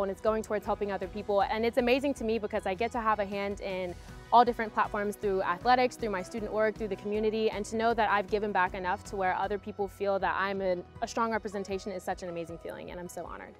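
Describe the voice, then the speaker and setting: A young woman talking quickly with lively enthusiasm. She is interviewed outdoors in a garden. Soft music plays faintly behind her. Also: medium pitch. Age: young adult. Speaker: homecoming queen.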